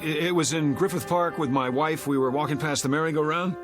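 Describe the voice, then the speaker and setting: A man's voice: on the high side, steady tone, fairly quick, music in the background, close to an everyday voice. An American actor playing a desperate, agitated fugitive in a television drama, stammering and insisting urgently that he is sane. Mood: anxious, hopeful. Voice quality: stammering, breaking phrases.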